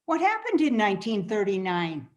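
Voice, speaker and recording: A woman's voice: mid-range voice, huge pitch swings, moderate pace, highs cut off. A woman playing a curious, doubting young boy in an amateur radio play, earnest and questioning. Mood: puzzled, doubting.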